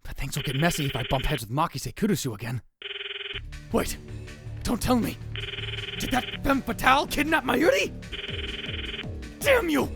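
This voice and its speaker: A man's voice: high-pitched, very animated, moderate pace, long pauses, over music. A man playing a dramatic, paranoid young self-styled mad scientist in a visual novel fan dub, theatrical, brooding and self-important. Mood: indignant, worried. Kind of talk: visual novel fan dub, first-person narration and dialogue.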